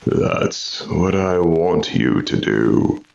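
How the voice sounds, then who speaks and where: A slightly dull recording of a low-voiced vampire in a radio horror play. He is cruel and domineering, drawing out his gloating threats slowly, with wide swings in pitch. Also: lively intonation, creaky, a little mellow, heavily acted. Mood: commanding. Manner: gloating, slow, taunting with sinister laughs. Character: menacing undead vampire brother, cruel and domineering. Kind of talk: old-time radio horror acting.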